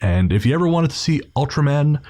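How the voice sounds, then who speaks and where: A man's voice: on the high side, lively intonation, brisk, dark tone. A man co-hosting a podcast in a chatty, informal, joking way, reading out notes and ad-libbing casually. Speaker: podcast co-host. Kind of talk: casual scripted podcast sign-off with chat.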